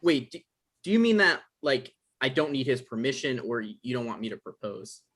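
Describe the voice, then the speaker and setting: Man acting as Michael, an anxious, halting guy, husky voice. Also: mid-range voice, big pitch swings, fairly bright tone. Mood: flustered, pleading. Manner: halting, stammering. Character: Michael, anxious apologetic male writer, evasive and defensive. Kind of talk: screenplay table read acting.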